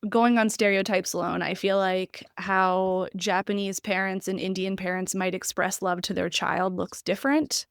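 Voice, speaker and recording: A woman's voice: mid-range voice, level tone, moderate pace, vocal fry, few pauses, clean tone, no room sound, clean studio sound. A woman hosting a podcast, reading an introduction and then interviewing her guest in a chatty, eager way. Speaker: podcast host.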